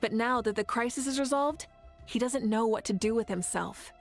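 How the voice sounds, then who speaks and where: Actress as calm adult game ally, mildly high register, even-tempered narration. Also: female voice, moderate pace, faint music behind, natural voice. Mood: reflective.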